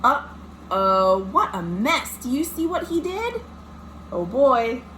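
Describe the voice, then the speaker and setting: A woman's voice: a little high, sing-song, slow pace, fairly bright tone. A woman, a children's librarian, reads a picture book aloud with dramatic emphasis, speaking directly to young listeners. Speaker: children's librarian hosting storytime. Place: indoors at home. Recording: noisy amateur home recording.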